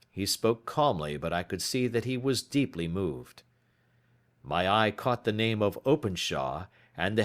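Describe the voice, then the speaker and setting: Low-pitched, expressive male audiobook narrator. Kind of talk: scripted literary narration.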